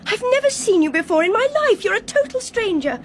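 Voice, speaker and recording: An actress playing an earnest, highly strung young Englishwoman in a radio drama, with an English accent. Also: sing-song, brisk, slight background noise. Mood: indignant, frantic. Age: young adult.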